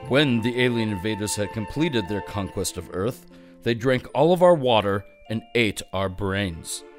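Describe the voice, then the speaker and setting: A man reading scripted mock-storybook narration with deadpan comic delivery. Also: fairly low pitch, unhurried, faint music behind.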